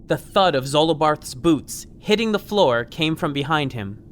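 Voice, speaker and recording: A man's voice: fairly high pitch, lively intonation, measured pace, a little hiss or hum. A man reading scripted fiction as an audiobook narrator.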